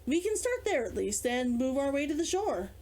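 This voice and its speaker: Woman reading as inquisitive practical man, animated intonation. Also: sits high, normal speed, voice pushed up. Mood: thoughtful, practical. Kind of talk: character dialogue in audiobook reading.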